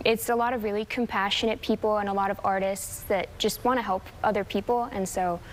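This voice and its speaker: Halting teenage girl, mid-pitched, faint noise. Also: steady tone. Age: late teens. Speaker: high school student guest. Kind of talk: casual TV interview answers.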